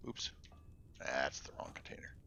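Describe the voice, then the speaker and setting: A man's voice: normal speed, pushed and bright, whispered. A man streaming a game, chatting casually with viewers in a rambling way and muttering under his breath between remarks. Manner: rambling, talks to chat while playing, drops into mutters. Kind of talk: casual live-stream chat. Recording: dull lo-fi stream audio.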